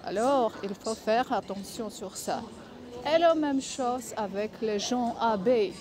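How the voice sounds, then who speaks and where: A woman speaking French that is not her native language, giving casual advice in a vlog, halting often to search for words. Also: a little high, a crowd in the background. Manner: halting, many filler pauses, searching for words. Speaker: vlogger.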